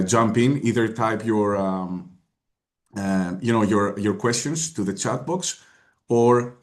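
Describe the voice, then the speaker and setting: A man with a Greek accent and a fairly low voice gives a webinar presentation over a video call. He speaks hesitantly, pausing on filler sounds and restarting his sentences. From Greece. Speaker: guest presenter.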